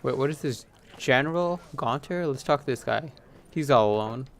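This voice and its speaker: A man's voice: medium pitch, lively intonation, moderate pace. A man hosting a gaming video with casual let's play commentary.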